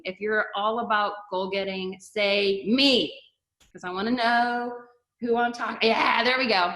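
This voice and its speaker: A woman's voice: mid-range voice, a bit bright. A middle-aged woman pastor and TV host, chatting casually with an online audience and asking them to type answers. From Canada-born, raised in the US. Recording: roomy echo.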